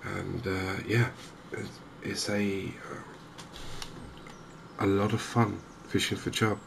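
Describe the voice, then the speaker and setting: An English man hosts a cozy ASMR gaming video in a soft, low voice. He speaks very slowly and evenly, with a creaky edge. Ambient noise is clearly heard behind him. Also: level tone. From England. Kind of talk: soft-spoken ASMR game commentary.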